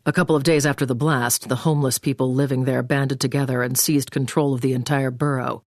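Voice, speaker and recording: An actress playing a commanding, businesslike female intelligence handler in a video game, issuing brisk field orders. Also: rumbling low, steady tone, darkish tone, dry recording, clean studio sound. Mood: serious, probing. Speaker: mission handler. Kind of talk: scripted video game voice acting.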